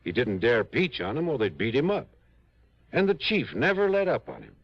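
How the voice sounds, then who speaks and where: An actor playing an earnest, articulate, worried small-town public defender in an old-time radio drama. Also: male voice, medium pitch, wildly swooping pitch, moderate pace, husky, no room sound, full character voice. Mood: earnest, sympathetic. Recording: dull vintage radio recording.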